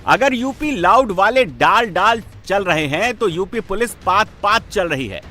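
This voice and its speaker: A man's voice: sits high, animated, a little slow, bright, forceful tone, soft background music. A man reading a dramatic scripted TV news voice-over in a punchy, emphatic way. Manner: punchy, emphatic, repetitive phrasing. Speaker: news reporter narrator.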